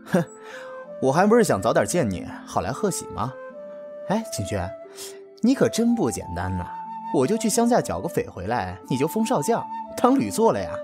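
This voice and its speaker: A young male army officer played by a man in a radio drama. He is stubborn and brusque, teasing with a fond undertone. His pitch moves in a very lively way, with music clearly behind him. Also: moderate pace. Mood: gruff, teasing. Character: proud, stubborn young male army battalion commander, gruff but fond. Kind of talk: Chinese audio drama acting. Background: background music.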